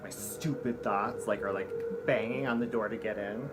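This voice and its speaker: A man's voice: on the low side, moderate pace, over loud music. Campy, profane middle-aged man rambling. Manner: campy, profane, chatty, full of fillers. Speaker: drag performer and show host. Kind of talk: rambling comic storytelling monologue. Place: drag room in a small home apartment.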